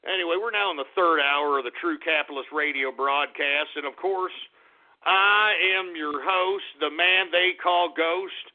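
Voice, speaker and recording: A man's voice: on the high side, moderate pace. A male talk radio host ranting angrily and profanely at callers. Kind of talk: call-in talk radio rant. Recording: tinny lo-fi radio audio.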